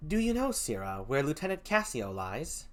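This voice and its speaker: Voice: medium pitch, big pitch swings, moderate pace, slight background noise, natural voice. A reader voicing Desdemona as an earnest, pleading young noblewoman in a play read aloud.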